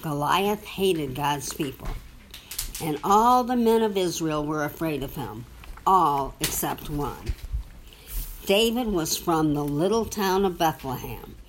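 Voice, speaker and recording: A woman reading a children's Bible storybook aloud to a young child, with simple, deliberate phrasing and questions for the listener. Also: low-pitched, expressive, slight background noise, rough recording.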